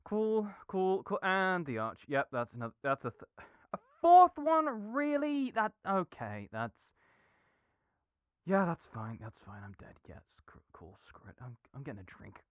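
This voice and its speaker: A man plays an easygoing, apologetic gamer roommate in a late-night audio roleplay. His voice is clear and smooth, captured dry and close. He talks in a casual, rambling way, often doubling back on himself with mild exasperation. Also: sits high, huge pitch swings, measured pace, dry recording, dull audio, exaggerated voice. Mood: exasperated, then defeated. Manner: rambling, hesitant, self-interrupting, mildly exasperated. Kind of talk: casual improvised-sounding audio roleplay monologue.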